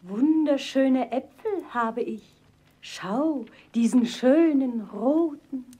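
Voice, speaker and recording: A scheming wicked queen in disguise as a peasant woman, coaxing with a husky, dark voice in a storybook audio play. She draws out her words slowly, and her pitch swoops up and down as she wheedles. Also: animated, full character voice. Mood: wheedling. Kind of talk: fairy-tale audio play.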